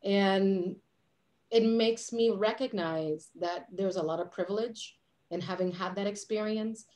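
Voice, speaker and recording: A woman from Puerto Rico in her forties talks with lively hand gestures over a video call from a dim home office. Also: mid-range voice, a little slow. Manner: animated, gestures a lot with her hands. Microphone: webcam on a video call.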